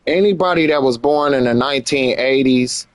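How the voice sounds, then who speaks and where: A man's voice: medium pitch, normal speed, dry recording. American man, slangy livestream talk, dry sound. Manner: slangy, repeats phrases for emphasis. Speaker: live streamer. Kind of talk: casual livestream commentary chat.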